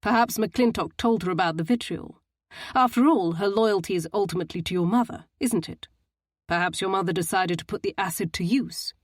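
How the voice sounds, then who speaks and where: A woman's voice: mid-range voice, fairly quick, dry recording, clean studio sound, close to an everyday voice. A woman voicing a stern man who questions people severely and with disdain. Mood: pressing.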